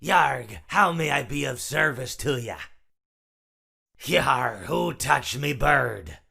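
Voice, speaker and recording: Gruff robot pirate fox, gravelly rasp, stage pirate accent, slow drawl, game character. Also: male voice, medium pitch, animated, sharp tone, full character voice. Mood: wry, gruff. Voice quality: raspy, growling. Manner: salty, drawling pirate talk. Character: roguish old animatronic pirate fox, gruff and wry. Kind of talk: video game character voice lines.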